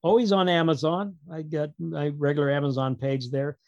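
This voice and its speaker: A man speaking casually as an interview guest, in a halting, digressive way with wry humor. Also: a little high, dry recording, dull audio. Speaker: guest author and producer.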